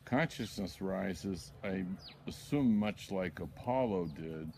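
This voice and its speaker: Low, slow older man reading poetry. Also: expressive, a little mellow. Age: older, 60s or 70s. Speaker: poet reading own work. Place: outdoors in a backyard. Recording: outdoor ambience with birds, background noise.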